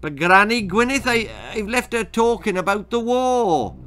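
Male storyteller doing a Welsh boy, cheeky, chattering, high, lively, storybook character. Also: moderate pace. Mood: eager, cheeky. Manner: eager, questioning, cheeky.

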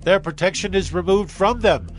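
Confident Israelite scout, male actor, declamatory and zealous, high voice over soft music, audio Bible. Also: normal speed, lightly acted. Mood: urgent exhorting. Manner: declamatory, exhorting. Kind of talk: dramatized audio Bible acting.

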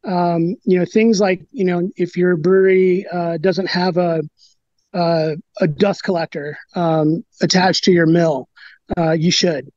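A brewer in his thirties, giving an interview over a video call and talking in a halting way with many fillers and restarts. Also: high voice, fairly even intonation, darkish tone, dry recording. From United States. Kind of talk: casual interview talk. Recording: dry, dull lo-fi video call.

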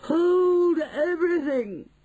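A storyteller voicing an excitable tall female witch in a children's storybook, shrieking and yelling in alarm. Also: high-pitched, slow pace, creaky, husky, pitched above the performer's own voice, exaggerated voice, low-quality recording.

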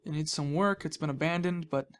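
A man's voice: on the high side, fairly quick. A young man giving casual, playful gaming commentary, rambling and reacting to himself as he plays. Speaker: gaming host. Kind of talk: casual Let's Play commentary.

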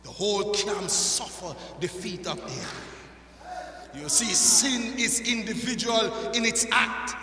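Male preacher, middle-aged, very piercing and intense delivery, deliberate pace, impassioned sermon, echoey sanctuary. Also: high-pitched, bright, forceful tone. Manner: emphatic, dramatic pauses and repetition. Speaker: pastor preaching. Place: large church sanctuary, indoors. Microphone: pulpit microphone on a stand. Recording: echoey church hall, long reverb.

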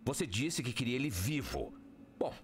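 An actor playing a cocky, insolent air force major in a Brazilian audio drama, breezy and sarcastic. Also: male voice, mid-range voice, sing-song, normal speed, slight background noise, lightly acted. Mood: defiant, mocking.

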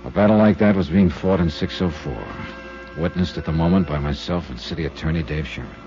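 A man's voice: extremely low pitch, fairly quick. An actor playing a terse, world-weary male insurance investigator who also narrates, in 1950s radio drama style. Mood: brooding. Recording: muffled old radio broadcast with music.

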